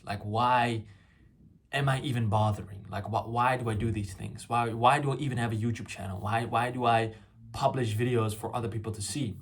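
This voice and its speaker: A young man whose first language is Farsi, rambling through a reflective solo vlog with many fillers and restarts. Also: medium pitch. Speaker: YouTuber. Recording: loud fireworks and clicks behind.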